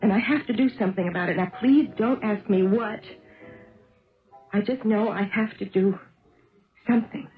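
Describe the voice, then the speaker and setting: Actress as an earnest, troubled American wife, tinny radio. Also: female voice, mid-range voice, moderate pace, long pauses, soft background music, close to an everyday voice. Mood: troubled, pleading. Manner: pleading, hesitant, insistent. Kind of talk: 1970s American radio drama acting. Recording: tinny old radio broadcast.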